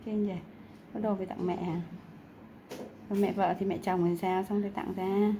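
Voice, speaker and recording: A woman's voice: mid-range voice, flat delivery, moderate pace, warm tone, a little hiss or hum. A woman chatting casually and explaining cases while she works in a skin clinic treatment room. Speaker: acne extraction practitioner. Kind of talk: casual chat while working.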